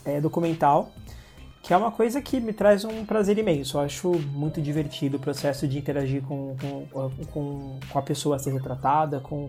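A man with a Brazilian Portuguese accent talks in a relaxed, chatty way, often restarting his sentences. His voice is mellow and warm, and faint music plays under him. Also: a little high. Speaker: interview guest, filmmaker. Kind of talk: casual interview talk.

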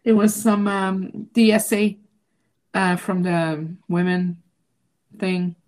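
A woman's voice: flat delivery, slow, a little mellow. Impassioned woman from Quebec. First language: French. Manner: emphatic, rises to high outraged questions. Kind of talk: impassioned political chat.

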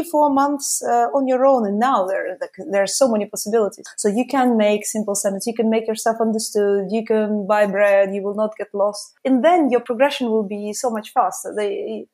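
A woman with a Russian accent, a podcast guest telling stories in casual conversation, with many fillers as she talks. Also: fairly high pitch. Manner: lively storytelling with frequent fillers.

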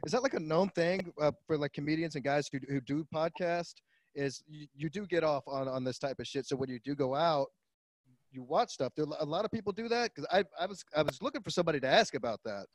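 A man's voice: fairly high pitch, fast, no echo. A man in casual podcast conversation, speaking informally and feeling his way through his sentences.

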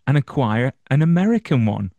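A man's voice: medium pitch, sing-song, moderate pace, gravelly, dark tone, no room sound. A man interviewing an actress, asking brief, friendly questions.

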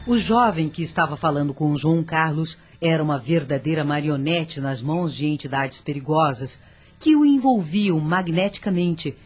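Female narrator for a radio soap, low register, storytelling over soft music. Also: lively intonation, moderate pace. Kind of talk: scripted radio drama narration.

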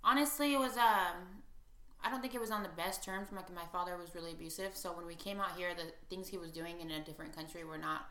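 A woman's voice: fairly low pitch, quick pace. A woman in her twenties who grew up in Albania and northern Italy, talking casually as a podcast guest in a reflective way, with lots of "you know.". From Albania, raised in northern Italy. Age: 20s. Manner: relaxed, reflective, many fillers and "you know". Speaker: podcast guest, business owner. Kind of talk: casual interview conversation. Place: home room. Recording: echoey home room.

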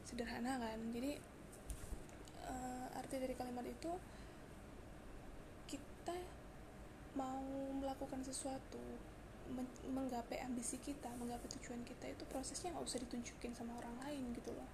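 A hoarse young woman hosting a casual solo podcast, chatty and confiding, with frequent fillers and restarts. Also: high-pitched, flat delivery, normal speed, pauses often, background noise. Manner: chatty, confiding, mixes in English words, many fillers and restarts. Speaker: podcast host.

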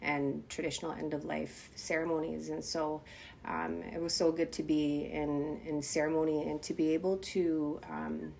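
A woman's voice: low voice, flat delivery, normal speed, creaky, a little mellow, slight background noise. A woman co-hosting a podcast, speaking with a stuffed-up voice from a cold, thankful and reflective in casual conversation. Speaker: podcast co-host.

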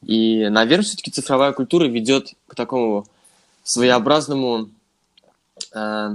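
A man's voice: medium pitch, moderate pace. A man in his twenties co-hosting a casual podcast, joking and talking directly to listeners. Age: 20s. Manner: relaxed, joking, addresses listeners as friends. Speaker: podcast co-host.

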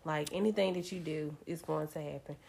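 A woman in her thirties co-hosting a casual talk show, candid and reflective, with a slightly raspy voice today. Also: low-pitched, fairly even intonation, fairly quick, a little mellow, slight background noise. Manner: candid and reflective, many fillers. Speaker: podcast co-host. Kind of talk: casual chat.

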